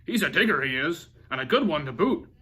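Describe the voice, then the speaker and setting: A man voicing a gruff, boisterous head pirate in a storybook, bellowing orders. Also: on the high side, sing-song, brisk, bright, forceful tone, full character voice. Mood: hearty, commanding. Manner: bellowing, commanding. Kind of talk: storybook character voice with pirate talk.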